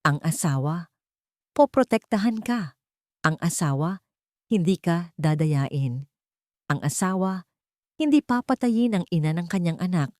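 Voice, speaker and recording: A woman voicing a wronged wife in an audiobook drama, cold, deliberate and vengeful. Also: fairly low pitch, lively intonation, a little mellow, no echo, clean studio sound. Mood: icy.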